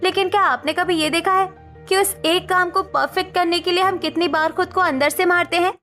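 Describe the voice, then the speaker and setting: Woman acting a fed-up young daughter-in-law, high voice, earnest, assertive, cartoon drama, music underneath. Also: steady tone, brisk, pushed and bright. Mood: hurt, assertive. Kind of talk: Hindi cartoon story dialogue.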